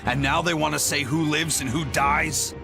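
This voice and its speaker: An actor voicing a gruff, indignant rebel swordsman in a video game, scornful and emphatic. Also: on the high side, fairly even intonation, moderate pace, music in the background. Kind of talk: dramatic English game voice acting.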